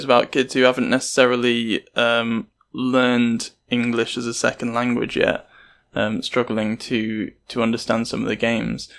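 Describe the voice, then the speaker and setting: A man's voice: medium pitch, vocal fry. A man hosting a podcast, asking interview questions in a conversational way.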